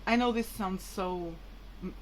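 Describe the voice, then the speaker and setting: A woman's voice: medium pitch, a little slow, slight background noise, rough recording. A woman whose mother tongue is Portuguese, talking casually and hesitantly to viewers in a rambling, informal vlog. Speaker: vlogger.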